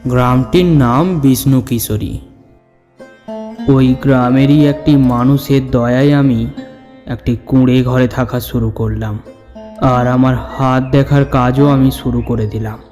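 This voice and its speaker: Male horror-story narrator, unhurried and dramatic, mid pitch, heavy hall reverb. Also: steady tone, warm tone. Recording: long reverb over the voice. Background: background music.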